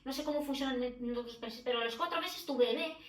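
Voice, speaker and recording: A woman's voice: high-pitched, fast talker, a bit bright. A middle-aged woman from Romania, a guest in a podcast conversation, talking in a reflective way with many restarts and fillers. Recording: echoey room, distant mic.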